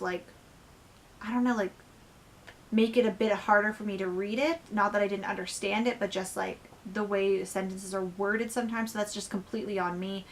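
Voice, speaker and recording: Young woman book vlogger, casual and chatty, voice going hoarse, faint background hiss. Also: medium pitch. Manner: chatty, lots of "like" and "um". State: losing her voice. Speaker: book reviewer. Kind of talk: casual book review vlog.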